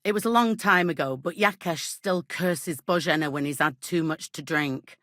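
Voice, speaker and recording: An actress playing a brusque, gossipy medieval innkeeper in a video game, speaking in earthy, colloquial rustic phrases with folksy idioms. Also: female voice, deep voice, moderate pace, fairly bright tone, dry recording, clean studio sound. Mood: gossipy, confiding. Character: brusque, gossipy medieval village innkeeper woman, plain-spoken, superstitious. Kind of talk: video game character dialogue.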